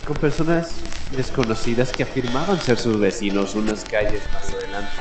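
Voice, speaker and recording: A man playing a solemn late-night host of a mysterious radio station, narrating strange news in a deliberate, dramatic way with halting pauses. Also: fairly low pitch, steady tone, natural voice. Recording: echoey room with music. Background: music.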